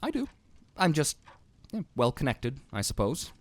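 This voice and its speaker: A man's voice: mid-range voice, wildly swooping pitch, moderate pace, no room sound. Swoopy, secretive man innkeeper acted out. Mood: affable, coy. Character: secretive, dry, affable innkeeper of a mysterious tavern. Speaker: actor in a fantasy audio drama. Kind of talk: fantasy podcast acting.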